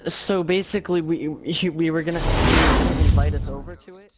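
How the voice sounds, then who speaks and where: A young man with a slightly high voice chats casually while gaming. He is playful and teasing, with a stop-and-start delivery, and music plays clearly behind him. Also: fairly even intonation, normal speed. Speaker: player chatting in a multiplayer game.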